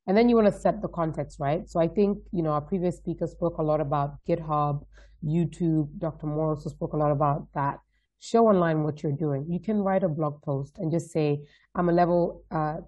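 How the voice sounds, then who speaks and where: A woman's voice: on the low side, dark tone. A woman in her thirties from Denmark, giving an upbeat, direct webinar talk and often checking in with "right?". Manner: upbeat, direct, frequent tag questions. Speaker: guest speaker. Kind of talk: webinar presentation. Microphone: video call. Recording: dull lo-fi call audio.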